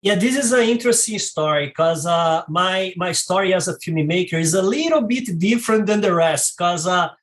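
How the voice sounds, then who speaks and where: A man in his forties from Brazil with a Brazilian Portuguese accent, a documentary filmmaker talking as a guest in an unhurried, halting way full of fillers and restarts. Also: sits high, lively intonation. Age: 40s. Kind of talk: casual interview talk.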